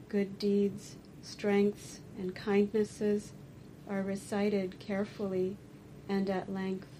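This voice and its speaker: A woman teaching a guided meditation, speaking deliberately in short phrases with pauses between them. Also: mid-range voice, dark tone, a little hiss or hum, poor audio. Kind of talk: guided meditation and dharma talk.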